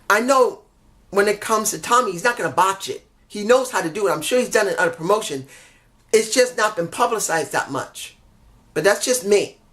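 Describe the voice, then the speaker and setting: A man's voice: high voice, a bit bright. A man with a head cold giving a casual solo review, rambling, correcting himself and grumbling in frustrated asides. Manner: rambling, keeps correcting himself and apologizing for mix-ups. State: stuffy head cold, under the weather. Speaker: wrestling show reviewer.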